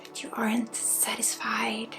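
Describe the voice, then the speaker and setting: A woman with a Swedish accent guides an ASMR energy healing at a very unhurried pace. Her soft voice sits fairly high and often drops to a whisper with a crackly edge. Faint music plays behind her. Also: monotone. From Sweden. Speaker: spiritual healer and ASMR host.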